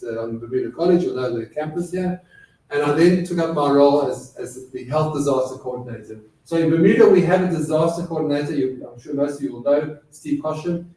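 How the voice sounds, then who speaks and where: A man's voice: medium pitch, mellow. A middle-aged man with a South African accent shaped by years in Britain, giving a chatty, self-deprecating lecture full of fillers in a college lecture room. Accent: South African with British influence. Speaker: guest speaker. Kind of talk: lecture presentation. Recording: echoey room, voice far from mic.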